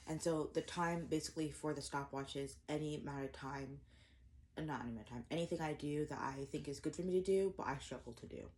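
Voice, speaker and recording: A woman's voice: deep voice, fast talker, darkish tone. A woman in her twenties chatting casually to camera while eating breakfast at home, rambling and self-deprecating with lots of fillers and restarts. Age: 20s. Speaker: vlogger. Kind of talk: casual eat-with-me chat to camera.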